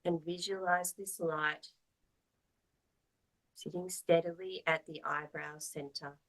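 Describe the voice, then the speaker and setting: A woman teaching yoga, guiding a meditation in a soothing, measured, gently instructive manner. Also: on the low side, fairly even intonation, low-quality recording.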